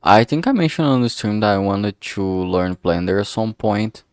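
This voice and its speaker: A man thinking aloud in a halting way, chatting casually on a solo livestream as he works. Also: lowish voice, expressive, darkish tone, dry recording, studio quality. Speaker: streamer making a visual novel. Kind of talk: casual solo livestream chat while working.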